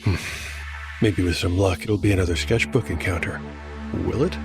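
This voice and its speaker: A man narrating a scripted dark fantasy audio drama as solo game master, measured and deliberate. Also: low-pitched, over music.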